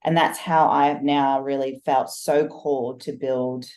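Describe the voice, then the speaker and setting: A woman's voice: very deep voice, steady tone, a little slow, a little mellow. A woman, a business coach, telling her life story as a guest in a podcast interview, earnest and reflective. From Australia. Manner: earnest, reflective, talks in long flowing stories.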